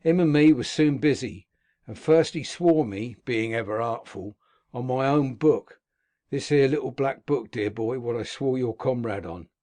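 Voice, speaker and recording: Male reader as a rough old convict, gruff, earthy dialect, medium pitch. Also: a little slow, warm tone, close to an everyday voice. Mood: grim recounting. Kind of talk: audiobook character reading.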